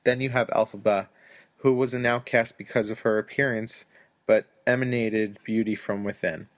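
A man's voice: on the low side, steady tone, moderate pace, no room sound. A man hosting a podcast, reading interview answers aloud from a script with the odd chuckle. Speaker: podcast host reading fan questions. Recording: slightly dull dry recording.

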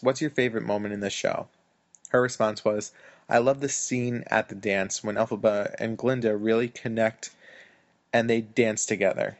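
A man's voice: fairly low pitch, no room sound. A man hosting a podcast, reading interview answers aloud from a script with the odd chuckle. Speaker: podcast host reading fan questions. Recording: slightly dull dry recording.

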